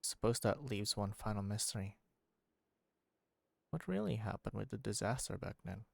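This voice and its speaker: Male streamer, casual and musing: gravelly, lowish voice, very dry close sound. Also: lively intonation, moderate pace, darkish tone, no room sound. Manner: musing, thinking aloud. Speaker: game streamer. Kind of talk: casual live-stream commentary.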